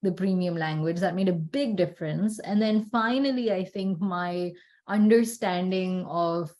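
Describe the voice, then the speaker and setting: Young woman, pakistani accent, lowish voice, podcast co-host, measured and conversational. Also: a little mellow. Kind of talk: casual conversational chat.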